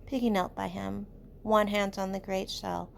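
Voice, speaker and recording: A woman reading a novel aloud in expressive, measured storytelling narration, like a teacher. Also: medium pitch, creaky, slight background noise. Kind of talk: audiobook-style read-aloud narration of a novel.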